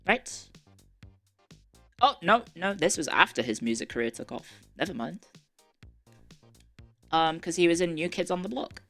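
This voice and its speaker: Low-voiced woman with mixed Irish-English accent. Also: expressive, measured pace, long pauses, fairly bright tone, faint music behind. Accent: mixed Irish and English, Australian-tinged. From Ireland. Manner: chatty, self-correcting, wry asides. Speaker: streamer. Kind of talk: casual live-stream chat, reading aloud.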